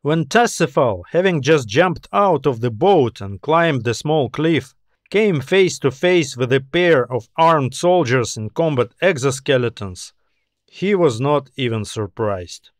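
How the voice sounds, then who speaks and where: A man narrating a science fiction story as a scripted audiobook, in a measured storytelling manner with phrases that trail off. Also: medium pitch, animated, dry recording, clean studio sound.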